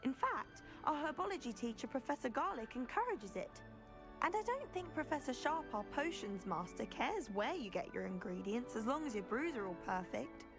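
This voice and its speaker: A woman's voice: high-pitched, big pitch swings, moderate pace, music in the background. A woman playing a friendly, chatty teenage girl at a wizard school, cheerful and proper, in a game. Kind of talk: scripted game dialogue.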